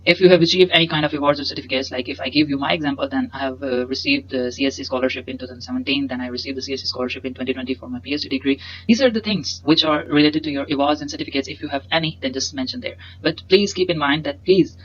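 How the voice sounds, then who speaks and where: A man's voice: medium pitch, fairly bright tone, muffled recording, slight background noise. Young man explaining with many fillers. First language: Punjabi. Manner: halting, many fillers and repeated words. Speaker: instructor. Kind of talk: explaining with slides. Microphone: webcam in a corner inset.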